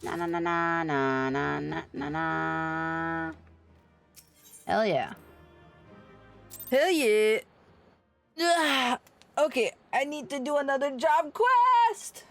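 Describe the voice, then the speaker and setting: A woman is streaming a game and chatting with her viewers in an informal, playful way. Her mid-pitched voice swoops around in lively melody, yet she tends to speak unhurriedly. She swears casually, and faint music plays behind her. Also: extremely slow, creaky, long pauses. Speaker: livestreamer playing an online game. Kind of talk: casual chat with viewers during gameplay.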